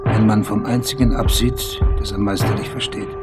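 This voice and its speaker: An actor playing a cutting, literary critic in a radio play, delivering a measured, rhetorical and scornful portrait. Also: male voice, on the low side, level tone, lightly acted. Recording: loud music under the voice. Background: loud music.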